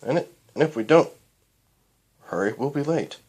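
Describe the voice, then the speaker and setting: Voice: medium pitch, expressive, moderate pace, a little mellow, husky, voice pushed up. A performer voicing a polite, slightly flustered adult male pony father in a mild, hesitant way for a story.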